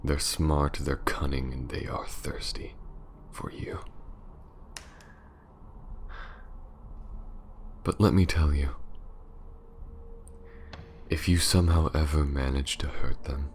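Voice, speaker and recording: Man playing a slow, very deep vampire lord. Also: steady tone, a little mellow, slight background noise. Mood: cold threat. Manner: slow, theatrical, sinister, often dropping to a whisper. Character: menacing aristocratic male vampire lord, sadistic and possessive. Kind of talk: ASMR villain roleplay.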